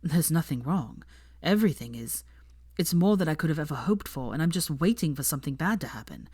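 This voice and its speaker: A woman voicing an anxious young man, speaking low and breathy. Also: expressive, brisk, darkish tone, dry recording, clean studio sound. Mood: troubled. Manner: low, subdued, breathy delivery.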